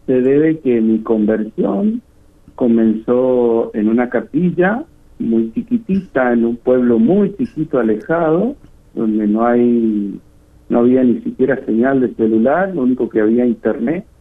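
A middle-aged man with a northern Argentine accent, giving halting testimony as a guest caller over the phone. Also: medium pitch, expressive. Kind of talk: personal testimony in an interview. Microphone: phone call. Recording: tinny phone line, muffled and rough.

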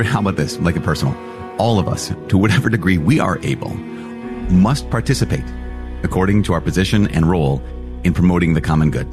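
A man, a priest hosting a daily teaching show, talking conversationally and rephrasing his thoughts aloud. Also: low voice. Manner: animated, thinks aloud and rephrases mid-sentence. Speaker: priest and podcast host.

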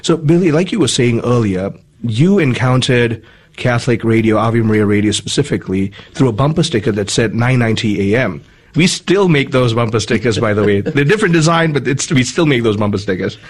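A man's voice: medium pitch, expressive, brisk, slightly rough. Earnest, chatty male radio host. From Malaysia. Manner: earnest, chatty, with frequent restarts. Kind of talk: conversational radio interview.

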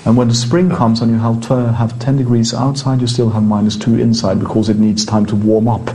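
A Norwegian priest, a man with a Norwegian accent, explains things conversationally in English, halting and rephrasing as he goes. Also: mid-range voice, flat delivery, dark tone, low-quality recording. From Norway.

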